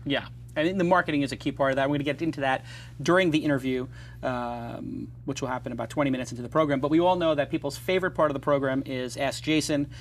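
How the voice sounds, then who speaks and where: A man hosting a casual startup interview show, talking fast and joking, with opinionated asides and sponsor reads. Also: mid-range voice, steady tone, a little hiss or hum. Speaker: host.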